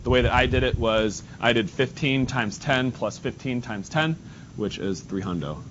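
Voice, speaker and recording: A male math teacher with a nasal voice, teaching a class in a casual way and talking to students while he works at the board. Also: normal speed. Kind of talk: classroom lesson. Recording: dull lo-fi sound, faint rumble.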